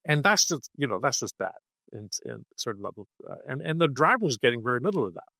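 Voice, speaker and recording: A man of middle pitch speaks as a podcast guest, keen to explain, and keeps checking in with the listener with a quick "okay?". The sound is dry and close, with no room around it. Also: animated, vocal fry, sharp tone, dry recording. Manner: punctuates points with "okay?" and "right?". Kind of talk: animated conversational explaining.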